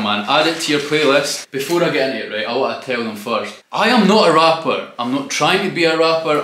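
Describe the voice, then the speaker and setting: A man's voice: medium pitch, a bit bright, reverberant. A young man in his twenties with a Scottish accent, hosting a casual chat and talking in broad dialect slang. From Scotland. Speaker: host. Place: home studio room.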